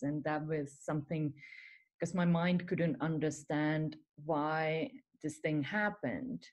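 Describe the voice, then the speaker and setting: A woman from Finland with a Finnish accent, talking earnestly as a podcast guest and laughing often. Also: fairly low pitch. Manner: earnest, laughs often, non-native phrasing. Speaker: guest, spiritual mentor. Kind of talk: casual podcast interview.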